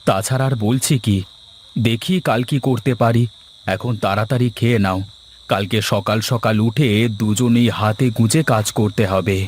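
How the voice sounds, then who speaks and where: A man's voice: on the low side, normal speed, a little mellow, slight background noise, close to an everyday voice. A man playing a poor, worried village husband in a cartoon folk tale, speaking in a plain, emphatic way. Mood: earnest explaining. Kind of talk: cartoon dialogue voice acting. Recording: music behind the voice.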